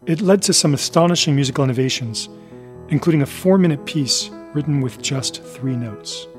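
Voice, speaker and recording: A man's voice: on the high side, normal speed. Male documentary narrator over faint music.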